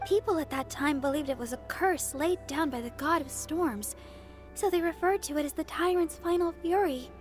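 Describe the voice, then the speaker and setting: An actress playing a sweet, earnest young church deaconess in an anime-style game, with a gentle and thoughtful manner. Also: female voice, high voice, moderate pace, close to an everyday voice. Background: game music.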